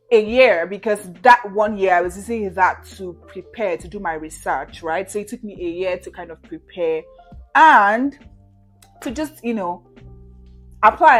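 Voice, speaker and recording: A woman in her twenties from Nigeria, chatting casually to camera as she answers viewer questions, with frequent "you know" and "right?" and easy laughs. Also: mid-range voice. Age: 20s.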